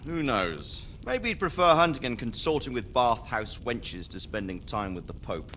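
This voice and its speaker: A man's voice: medium pitch, very animated, moderate pace, fairly bright tone, slight background noise. A man playing a courteous, dry-witted medieval knight in a video game, polite and composed. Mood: wry, instructive. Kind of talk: dramatic video game acting.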